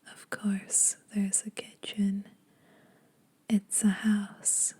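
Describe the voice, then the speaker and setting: A woman playing a teasing, secretly kind vampire in an intimate, breathy whispered ASMR roleplay. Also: mid-range voice, completely flat delivery, dark tone, heavily acted. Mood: teasing, coaxing. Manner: slow, intimate, dryly teasing. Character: teasing, lonely female vampire, ageless, secretly kind to mortals.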